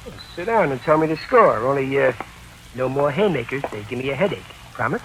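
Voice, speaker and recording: A man's voice: medium pitch, animated, fairly quick, natural voice. An actor playing a big, good-natured working-class tough guy in a 1940s radio drama, talking in ungrammatical street slang. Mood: gruffly friendly. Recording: noisy vintage 1940s radio recording.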